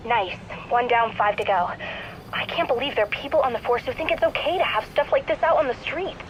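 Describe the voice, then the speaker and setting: A woman's voice: fairly high pitch, brisk, noisy background, natural voice. A woman playing an eager, earnest teenage sidekick in a video game, chatty, casual and confiding. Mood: indignant, determined. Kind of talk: naturalistic video game voice acting.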